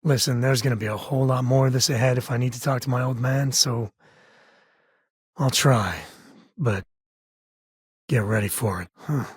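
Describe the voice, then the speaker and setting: An actor playing an easygoing, protective frontier ranger and father in a video game, with a husky voice and wry, folksy conversational delivery. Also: mid-range voice, moderate pace, long pauses, no echo, studio quality. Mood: casual. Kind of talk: video game character dialogue.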